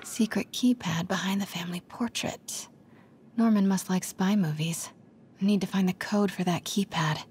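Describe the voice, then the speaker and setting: A woman's voice: mid-range voice, fairly even intonation, moderate pace, a little mellow, slight background noise, natural voice. A woman voicing a determined young reporter in a video game, murmuring to herself and often dropping to a whisper as she sneaks. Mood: curious, intent. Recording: background music under the voice.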